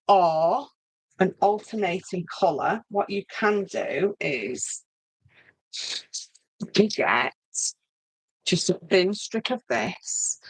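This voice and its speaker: A woman with a Yorkshire accent and a fairly low voice, recorded close and dry. She talks viewers through a hands-on craft in an informal way, thinking aloud and checking herself with little tag questions. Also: a bit bright, dry recording, poor audio. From Yorkshire, northern England. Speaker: craft tutorial host. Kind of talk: informal live craft demonstration, chatting to viewers.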